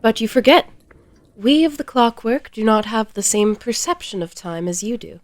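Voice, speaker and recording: A woman playing a serene, literal-minded clockwork being in a fantasy audio drama, speaking in a measured, formal, matter-of-fact way. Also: on the high side, expressive, dry recording, lightly acted.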